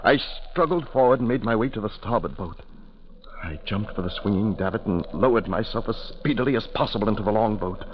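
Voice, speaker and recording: Through a muffled, hissy 1940s radio recording comes a man acting an alarmed ship's passenger in a horror play. His mid-pitched voice swings widely, dramatic and urgent, in classic old radio style. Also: expressive, a little fast, natural voice. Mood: grave suspense. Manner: dramatic, grave in narration, urgent in dialogue. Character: alarmed male ship passenger recounting a terrifying voyage. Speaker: narrator and lead character.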